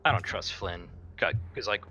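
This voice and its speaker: A man's voice: lowish voice, measured pace, bright, forceful tone, a little hiss or hum. A man playing a tabletop roleplaying game, speaking bluntly and casually with plenty of swearing. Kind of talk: casual improvised in-character game chat.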